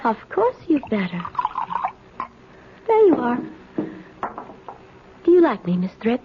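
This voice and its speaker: High-pitched, domineering, sly young girl. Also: sing-song, slow pace. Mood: smug, taunting. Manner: bossy, taunting, theatrical. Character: brazen, domineering young girl intruder, slyly sinister. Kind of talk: 1970s radio drama acting. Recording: muffled, hissy 1970s radio recording.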